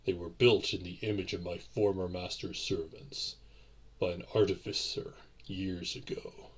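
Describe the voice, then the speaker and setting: A man playing a courtly, centuries-old vampire boyfriend in a romantic audio roleplay, speaking in formal, old-fashioned, deliberate phrases. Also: low-pitched, a bit bright, husky, exaggerated voice.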